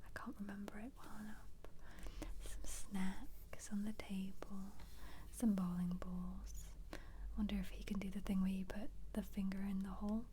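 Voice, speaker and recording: Softly whispering ASMR woman, mellow and breathy. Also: mid-range voice, flat delivery, normal speed, slight background noise. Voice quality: soft, breathy, mostly whispered. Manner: gentle, chatty, musing aloud. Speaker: ASMR video creator. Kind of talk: ASMR, soft-spoken casual book flip-through commentary.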